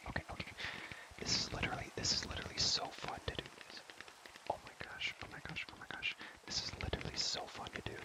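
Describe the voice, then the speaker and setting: A young man whispering breathily close to the microphone in an ASMR style, repeating words and phrases over and over as he rambles playfully. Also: rough recording. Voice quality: breathy, mostly unvoiced whisper. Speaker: ASMR creator and host.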